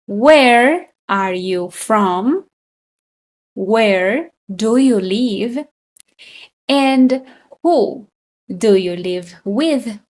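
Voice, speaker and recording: A woman in her thirties from Colombia teaching a beginner English lesson, speaking slowly and deliberately and repeating each phrase. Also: fairly high pitch, expressive. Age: 30s. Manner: very slow, deliberate, with frequent repetition.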